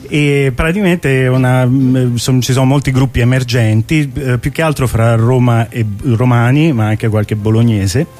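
A man of medium pitch presents music on the radio over faint background music. He speaks informally and haltingly, like a casual co-host feeling out his sentences. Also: a little mellow.

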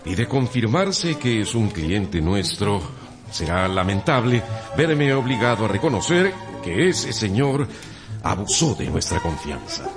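A man playing an earnest, anxious gentleman banker in a radio drama, formal and grave, over a music bed. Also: on the low side, very animated, a little slow.

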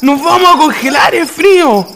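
A man's voice: very high voice, animated, moderate pace, vocal fry, background noise, exaggerated voice. An actor playing a jumpy village man lost at night in a radio drama, with a Chilean accent and rustic, exclamatory speech. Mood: agitated. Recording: music behind the voice.

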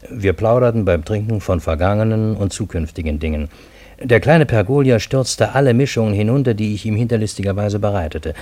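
An actor playing a Parisian man who narrates a radio play in the first person, in a measured, literary manner. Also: lowish voice, dark tone, no echo, close to an everyday voice. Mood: measured, recounting. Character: Parisian man, obedient narrator recalling a strange plot.

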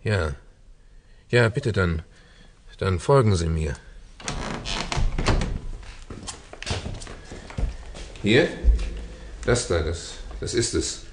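An actor in a German radio drama playing a nervous, evasive, polite caretaker who stammers and hesitates. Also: male voice, deep voice, animated, slow, background noise.

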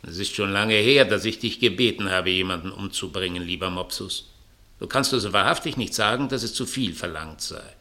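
An actor playing a cynical, scheming master who philosophizes grandly about death in a theatrical, sardonic radio play style. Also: male voice, deep voice, fairly quick, bright, forceful tone. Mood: coaxing, commanding. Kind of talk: 1960s German radio play acting.

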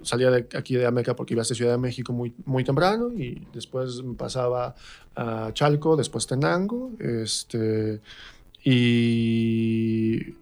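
A man's voice: mid-range voice, a little slow, darkish tone. A man with a central Mexican accent, telling personal stories casually as a guest in a radio interview, acting out remembered remarks as he goes. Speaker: interview guest, stage actor.

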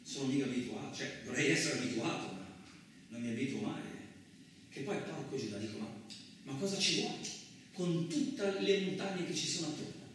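An actor playing a gruff, fed-up truck driver in a rambling, coarse radio-play monologue. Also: male voice, medium pitch, animated, moderate pace, fairly bright tone, natural voice. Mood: grumbling, weary. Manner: colloquial, rambling, repetitive, coarse interjections. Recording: very echoey, distant, noisy room recording.

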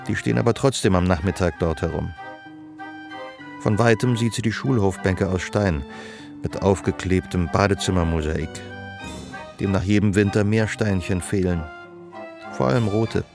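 A man acting as a detached, observant storyteller, narrating literary radio drama in a measured way. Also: low-pitched, gravelly, music in the background. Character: detached, observant male storyteller of a woman's life.

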